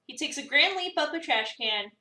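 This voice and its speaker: A woman's voice: high-pitched, expressive, a little fast, sharp tone, full character voice. A woman voicing an exasperated, sarcastic young cafe worker, narrating a visual novel with dramatic incredulity. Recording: roomy, slightly distant mic.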